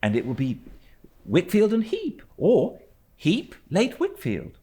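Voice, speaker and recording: A man plays a well-mannered young British boy in a storybook reading. The pitch is lifted well above a grown man's and swings about freely. He sounds earnest and inquisitive, with a light touch. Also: wildly swooping pitch, takes it slowly, warm tone, full character voice. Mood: eager, polite. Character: polite, curious young boy. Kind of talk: audiobook character acting.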